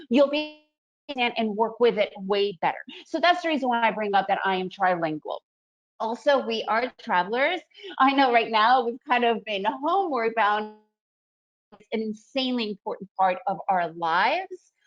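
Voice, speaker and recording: Woman in her 40s doing a casual webinar, kinda high voice, muffled lo-fi mic sound. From Soviet Union, raised in the US. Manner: chatty, upbeat, frequent fillers and "right?" tags. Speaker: trading educator hosting a webinar. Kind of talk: casual presentation. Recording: dull lo-fi webinar audio.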